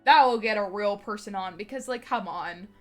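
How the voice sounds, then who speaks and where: A woman's voice: mid-range voice, moderate pace. Young female streamer, slight lisp, rambly and full of fillers, faint music underneath. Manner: rambling, many fillers and restarts, laughs often. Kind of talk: casual live-stream chat.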